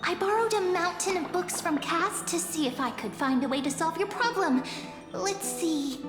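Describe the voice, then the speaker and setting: Very high, squeaky little game companion creature. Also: female voice, moderate pace. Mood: proud, cheerful. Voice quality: childlike, squeaky. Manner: bubbly, chirpy, exclamatory. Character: small cute excitable fairy-like companion creature, cheerful. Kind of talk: video game character acting. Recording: echoey hall reverb under loud music.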